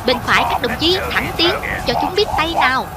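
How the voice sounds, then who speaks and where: A brisk cartoon military commander, acted by a woman barks out orders. The voice is high and sits over loud music. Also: expressive. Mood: commanding. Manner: barking orders.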